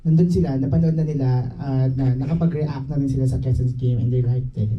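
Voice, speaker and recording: A man's voice: medium pitch, fairly even intonation, fast talker. A man with a Filipino accent moderating informally, switching between Tagalog and English. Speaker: event moderator. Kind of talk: informal press event talk in Taglish. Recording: dull, distant microphone.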